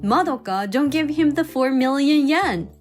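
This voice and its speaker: A woman's voice: high voice, animated, a little slow. A woman playing a kindly, chatty older mother-in-law, polite and effusive, in a manga dub drama. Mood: indignant, supportive. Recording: music behind the voice.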